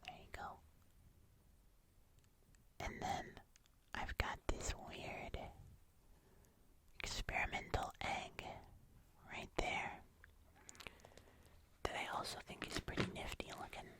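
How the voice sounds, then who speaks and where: An ASMR host speaking entirely in a whisper, casually and gently rambling through a hands-on show-and-tell. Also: slow pace, long pauses. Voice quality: whispered, unvoiced.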